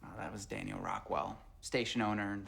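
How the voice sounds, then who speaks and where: An actor playing an eager, affable 1920s radio station employee who is flustered and coaxing and repeats himself. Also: male voice, fairly low pitch, fairly even intonation, moderate pace, vocal fry, a bit bright, a little hiss or hum. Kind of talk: period TV drama acting.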